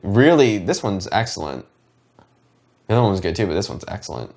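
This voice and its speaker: A man's voice: lowish voice, very animated, a little fast, crowd noise. A man chatting casually as co-host of a commentary, opinionated and emphatic, often repeating himself. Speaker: show co-host.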